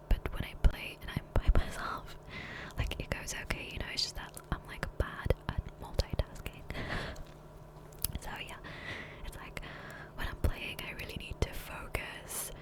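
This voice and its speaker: A woman speaking in a soft, breathy whisper, rambling casually and unhurriedly in an ASMR gameplay voiceover on a close microphone. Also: low-quality recording. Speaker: ASMR creator. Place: voiceover recorded separately from gameplay. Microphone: Blue Yeti USB microphone, close.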